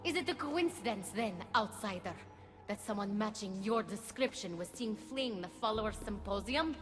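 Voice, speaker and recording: An actress playing a scheming goddess and false friend in a video game, speaking in a formal, insinuating, theatrical way. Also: female voice, mid-range voice, expressive, normal speed, close to an everyday voice. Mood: sly, accusing. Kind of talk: dramatic video game acting. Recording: hall reverb, music behind.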